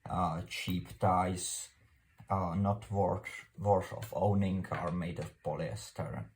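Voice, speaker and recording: A man with a Czech accent, a native Czech speaker, presenting an informal unscripted explainer in a halting, hesitant way with formal phrasing. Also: low voice, steady tone, darkish tone. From Czech Republic.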